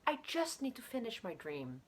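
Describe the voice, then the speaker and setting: A woman's voice: fairly high pitch, sing-song, normal speed, breathy edge, voice pushed up. A woman voicing a sleepy wife in a story who bargains to stay in bed. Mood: stalling. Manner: mumbling, bargaining. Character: sleepy procrastinating wife and mother, stalling in bed.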